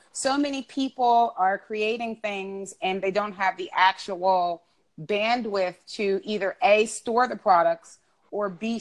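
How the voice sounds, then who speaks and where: A woman hosts a podcast in an upbeat, encouraging way, cheering her guest on between questions. Her voice sits at a middling pitch for a woman. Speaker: podcast host. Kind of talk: casual interview and intro. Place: Brooklyn.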